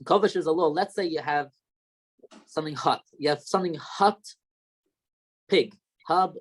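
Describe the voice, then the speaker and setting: A man's voice: on the high side, moderate pace. A young man with a light Australian accent, a rabbi teaching an informal class and explaining step by step with questions.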